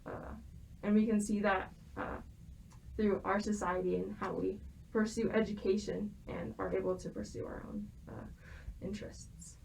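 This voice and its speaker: A woman's voice: medium pitch, little pitch movement, moderate pace, vocal fry, darkish tone, slight background noise, low-quality recording. Young woman explaining from notes. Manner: explains from notes with frequent ums and restarts. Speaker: student guest expert. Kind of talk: prepared explanatory talk.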